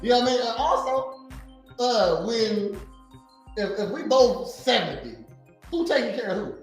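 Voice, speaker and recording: High-voiced man in a very echoey room. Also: lively intonation, moderate pace. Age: 40s. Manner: rambling, laughs often, repeats "you know what I mean". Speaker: podcast co-host. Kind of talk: casual profane chat. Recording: very echoey room, faint music.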